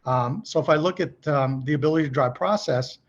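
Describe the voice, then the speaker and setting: A man's voice: fairly high pitch, a little fast, muffled recording, slight background noise. A middle-aged man speaking as a podcast guest, explaining business practice conversationally in a folksy way, often posing questions and checking in with the listener. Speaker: podcast guest, company executive.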